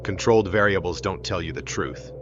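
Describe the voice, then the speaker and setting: A man playing a fearless, confident billionaire boss in an audiobook drama, smooth and calm over a comlink. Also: low voice, fairly quick. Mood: calm, defiant. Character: cocky, fearless male billionaire adventurer and boss. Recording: muffled comlink sound under music.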